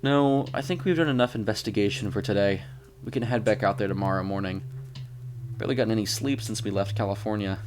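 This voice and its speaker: A man's voice: fairly low pitch, quick pace, darkish tone, natural voice. A man voicing a weary, questioning male federal agent in a narrated mystery story, earnest and a little grumbling. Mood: weary, reluctant.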